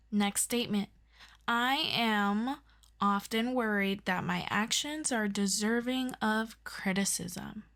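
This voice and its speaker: A woman playing an earnest, excitable superhero best friend in an ASMR audio roleplay, chatty and expressive as she tutors a listener. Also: on the high side. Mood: businesslike, friendly. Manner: chatty and expressive, with interjections and sighs. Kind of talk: ASMR audio roleplay, scripted one-sided conversation.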